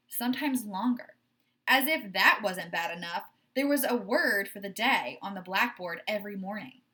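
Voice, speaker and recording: A woman reading a children's book aloud like a teacher, in expressive, measured storytelling with stressed phrases. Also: mid-range voice.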